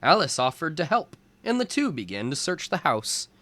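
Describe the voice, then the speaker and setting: A man reading a storybook aloud as a teacher for young children, in deliberate phrases. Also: fairly high pitch, big pitch swings, bright, forceful tone, dry recording.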